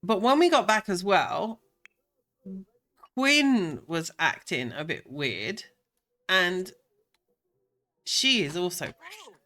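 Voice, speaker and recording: Slow, bright-voiced gamer with a cold. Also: medium pitch, sing-song. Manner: rambling and chatty, with many ums and restarts. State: stuffed up with a cold, a bit croaky. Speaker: Sims gameplay creator. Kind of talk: casual gameplay commentary.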